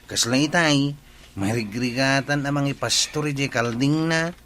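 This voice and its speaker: A man's voice: mid-range voice, moderate pace, fairly bright tone, a little hiss or hum, lightly acted. A man playing an earnest, gossipy village nephew in an Ilocano comedy radio drama, with an Ilocano accent. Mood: tattling.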